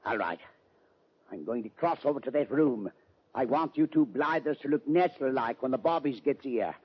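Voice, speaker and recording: A man's voice: mid-range voice, lively intonation, normal speed, husky. An actor playing a skinny, jittery little English gunman who barks menacing orders in an old-time radio drama. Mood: threatening, jittery. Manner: menacing, barking curt orders. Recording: dull, muffled old radio recording.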